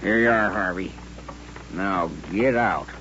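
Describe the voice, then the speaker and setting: An actor playing a plain-spoken, wry frontier barkeep with a folksy way of talking in an old-time radio western. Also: male voice, low-pitched, takes it slowly. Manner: folksy, colloquial. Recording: dull, noisy, humming old radio recording.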